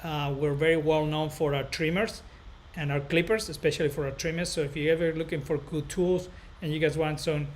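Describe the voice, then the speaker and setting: A man from northern Spain with a Spanish accent, hosting a casual live stream as a barber educator and chatting to viewers in a rambling, halting way, often repeating himself. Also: on the high side, steady tone, slight background noise.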